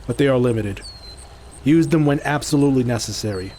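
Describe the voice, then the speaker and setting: A man's voice: medium pitch, fairly quick, warm tone, slight background noise, natural voice. A man playing a resolute hero and trusting leader in an audio drama, speaking plainly and with command.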